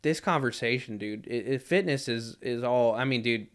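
A man in his twenties in casual podcast chat, animated and self-mocking, swearing and saying "dude" a lot. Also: mid-range voice, moderate pace. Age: 20s.

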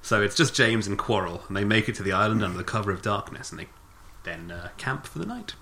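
A man's voice: on the low side, expressive, quick pace, creaky, bright, forceful tone, slight background noise. A man co-hosting a casual film review chat, recapping the plot with sarcastic jokes, swearing and frequent laughter. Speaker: podcast co-host.

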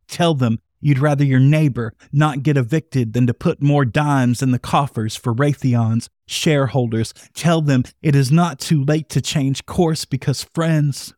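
A man's voice: a little high, a little mellow, no room sound, clean studio sound. A man hosting a podcast, delivering a scripted, impassioned address in an earnest, rhetorical and emphatic manner. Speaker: podcast host.

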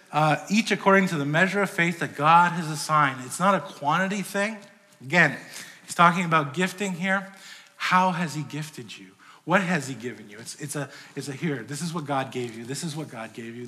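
A man's voice: on the high side, sharp tone. A man preaching a sermon to his church in a conversational way, rising and dropping in volume. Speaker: pastor. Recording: long hall reverb.

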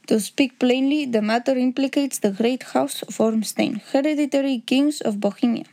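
Proud aristocrat, voiced by a woman, formal, pompous, grave, agitated, storybook, moderately high pitch. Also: moderate pace, mellow. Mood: guarded. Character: huge proud Bohemian nobleman, secretly a king, agitated, formal.

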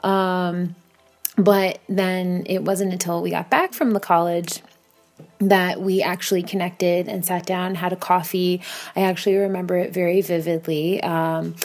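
A woman's voice: on the low side, little pitch movement, a little slow, a little mellow, soft background music. An American woman speaking in a casual, rambling, self-deprecating monologue recorded at home. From Bayonne, New Jersey, United States. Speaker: podcast guest contributor. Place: home kitchen.

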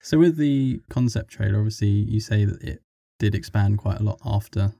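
A man's voice: lowish voice, normal speed, gravelly, dark tone, no echo, studio quality. A man co-hosting a podcast, putting questions to a guest in a casual chatty interview. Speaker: podcast co-host interviewing a writer.

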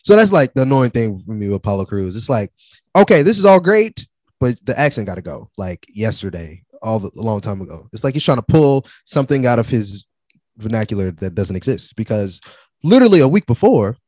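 A man co-hosting a casual wrestling chat, opinionated and animated, dropping slang as he rants. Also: on the low side, brisk, dry recording. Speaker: wrestling podcast co-host.

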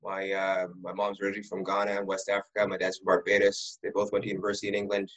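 Rambling low-voiced man from Ottawa. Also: completely flat delivery, very fast, a bit bright, low-quality recording. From Ottawa, Canada. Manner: rambling storyteller who often restarts words. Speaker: real estate broker, guest. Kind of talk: casual podcast interview.

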